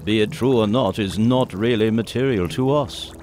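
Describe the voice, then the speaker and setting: A man's voice: on the low side, moderate pace, a little mellow, soft background music, natural voice. An actor playing a greedy, calculating 1830s English businessman in an audio drama, with formal, pompous diction. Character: scheming, greedy 1830s English elixir-company boss, cold and calculating. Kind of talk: family audio drama acting.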